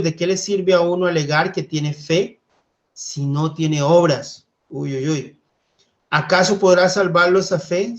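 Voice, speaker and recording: A man from Costa Rica leading a church Bible study, speaking gently and thoughtfully, with hesitant fillers. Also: fairly high pitch, muffled recording. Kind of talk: Bible study teaching and reading scripture.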